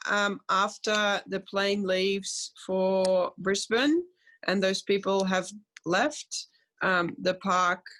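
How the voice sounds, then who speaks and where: A woman giving spontaneous interview answers as a community spokesperson, with hesitant, halting phrasing. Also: medium pitch, fairly bright tone.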